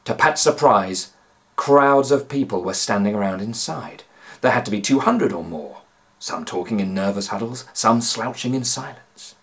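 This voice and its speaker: A man's voice: on the low side, animated, normal speed, a bit bright. A man, narrating a children's story expressively and dramatically. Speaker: author reading aloud. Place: small home room, indoors.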